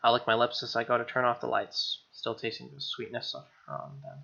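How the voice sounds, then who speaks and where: Gravelly, bright-voiced man reading a game. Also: medium pitch, flat delivery, fairly quick, lo-fi sound. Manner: informal, slangy commentary. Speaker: gamer reading a visual novel aloud. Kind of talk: dramatic reading with casual asides.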